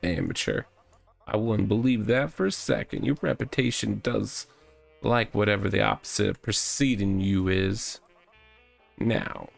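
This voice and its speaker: A man's voice: fairly low pitch, a little slow, vocal fry, faint music behind, heavily acted. A man voicing a pompous, delusional self-styled cop in a visual novel, with a theatrical, wordy, rambling delivery. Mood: smug, gloating. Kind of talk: visual novel character reading.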